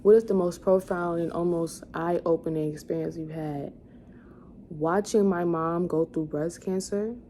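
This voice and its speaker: A woman's voice: lowish voice, fairly even intonation, measured pace, warm tone. A woman in her twenties chatting casually as a vlogger, rambling as she thinks aloud and corrects herself. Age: 20s. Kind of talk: casual chat, answering questions to camera. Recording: clearly noisy room ambience.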